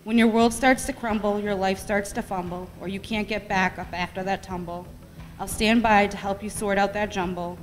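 A woman's voice: medium pitch, steady tone, moderate pace, slight background noise. A middle-aged woman reading her own rhyming poem aloud at a podium microphone in a meeting room. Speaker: poetry reader. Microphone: podium gooseneck microphone. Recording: echoey hall with long reverb.

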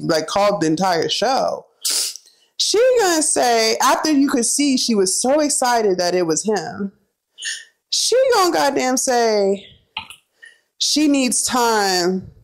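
A young woman vlogger chatting casually as she cooks, telling a gossipy story full of "like" and "you know" while walking through each kitchen step. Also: on the high side. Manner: cooks while talking, narrating kitchen steps.

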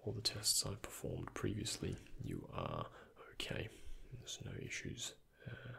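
Creaky, whispering young man, very low. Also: huge pitch swings. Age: young adult. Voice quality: breathy, hushed whisper. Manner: gentle, unhurried, explanatory. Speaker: ASMR creator playing a doctor. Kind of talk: ASMR roleplay, soft-spoken and whispered. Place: indoors, close before a dark backdrop.

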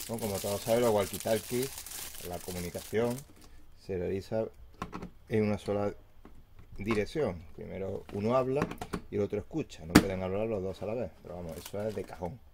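Low-voiced man with noisy background. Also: normal speed, pauses often. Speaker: product reviewer. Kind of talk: casual unboxing product review. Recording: clear background noise with handling crinkles.

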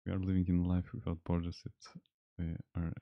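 Young polish guy streaming a game, deep monotone voice, faint game music under it. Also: vocal fry, no echo, narrow, tinny audio. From Poland. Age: young adult. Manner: halting, self-correcting, reads chat aloud. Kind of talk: casual gameplay chat. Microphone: desk microphone.

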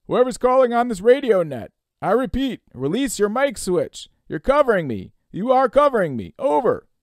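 Narrator putting on a male radio operator, raised pitch, loud, clipped, official, insistent, audiobook drama, dry recording. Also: sing-song, normal speed, no room sound. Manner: clipped, emphatic, procedural.

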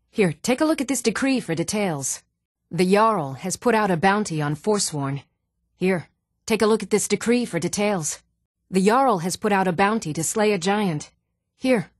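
An actress voicing an even-toned young Nordic townswoman and warrior in a fantasy video game, measured and clearly spoken. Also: mid-range voice, dry recording, clean studio sound, close to an everyday voice. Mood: matter-of-fact, helpful. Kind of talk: scripted video game dialogue.

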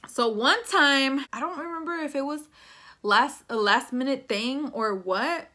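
A woman's voice: high-pitched, unhurried, pushed and bright. A woman, telling a personal story in a casual, slangy way, slipping between English and Spanish. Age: 20s. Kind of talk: casual storytime chat to camera. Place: small plain room indoors.

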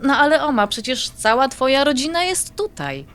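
A woman's voice: high voice, sing-song, moderate pace, a bit bright. A woman playing an affectionate adult granddaughter in an audio drama, talking in a coaxing, familiar way. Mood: pleading.

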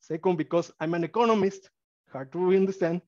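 A man's voice: high-pitched, dry recording. A man from Mexico with a Spanish accent, presenting college data on a webinar, with frequent fillers and restarts. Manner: halting, many fillers and restarts, gets excited. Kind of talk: webinar presentation with screen share. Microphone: computer mic on video call. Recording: dry, slightly dull video-call audio.

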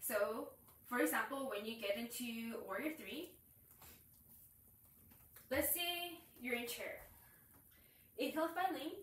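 A woman's voice: fairly high pitch, takes it slowly, long pauses, fairly bright tone, a little hiss or hum. Young woman teaching yoga in echoey room. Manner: explains while demonstrating poses, cueing breaths. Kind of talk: instructional yoga explanation. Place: small room with curtains and yoga mat, indoors. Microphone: far camera. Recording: echoey room, far from mic.

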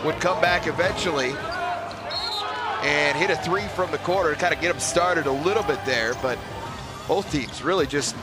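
A man in his fifties, a play-by-play announcer giving a postgame recap from a courtside desk in an arena, speaking into a headset mic in a steady broadcaster delivery. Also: on the high side, normal speed, loud music behind the voice.

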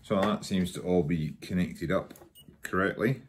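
A man's voice: sits low, measured pace. A man giving a casual hands-on tutorial, murmuring unhurriedly as he concentrates on his handwork at a table at home. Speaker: hobbyist demonstrating a repair. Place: at a wooden table in a home room. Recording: faint background noise and clicking.

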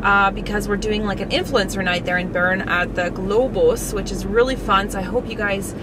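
A woman in her thirties talks in a casual, chatty vlog style at a medium pitch. Her phrasing is informal and peppered with filler "like". Clear background noise runs behind her voice. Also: steady tone, moderate pace. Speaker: vlogger. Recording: clearly noisy, car and outdoor ambience.

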